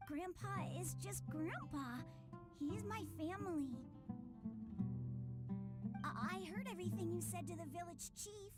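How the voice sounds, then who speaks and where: A woman's voice: extremely high pitch, a little slow, long pauses. A woman voicing an earnest little village boy in a video game, imploring and a bit stammering. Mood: hurt, defensive. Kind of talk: video game character acting. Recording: loud music behind the voice. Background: children's-style game music.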